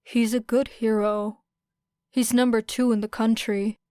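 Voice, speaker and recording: Stoic teenage boy, voiced by a woman, aloof, detached, flat delivery, clear voice, audiobook character. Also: a little high, a little slow, dry recording, studio quality, lightly acted.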